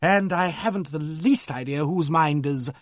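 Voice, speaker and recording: A man's voice: fairly high pitch, measured pace, no room sound, clean studio sound, voice pushed up, lightly acted. A man voicing an eccentric, forthright vicar's wife in a storybook, with an abrupt, emphatic and earnest yet vague manner. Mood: earnest, absent. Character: eccentric, forthright, absent-minded vicar's wife of uncanny knowledge.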